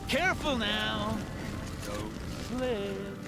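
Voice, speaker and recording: Sing-song, high-voiced sadistic outlaw. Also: male voice, huge pitch swings, extremely slow, over loud music, heavily acted. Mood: playful taunting. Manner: theatrical, mocking, playful menace. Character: taunting sadistic male outlaw killer who lays traps. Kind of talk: video game villain acting.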